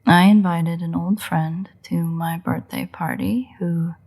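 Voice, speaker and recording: Woman acting a husky, gravelly, sardonic vampire woman. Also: lowish voice, fairly even intonation, takes it slowly, darkish tone. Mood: resigned. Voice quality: husky, breathy, gravelly fry. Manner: sarcastic, mocking, swearing, dry exasperation. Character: sardonic female vampire, teasing, crude, grudging toward a hunter. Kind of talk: roleplay audio drama, intimate monologue to the listener.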